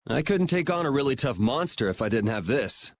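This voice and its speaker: A man's voice: medium pitch, sing-song, fairly quick, no echo. Cool, plain-spoken young swordsman. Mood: frank. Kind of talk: video game dialogue, casual. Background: background music.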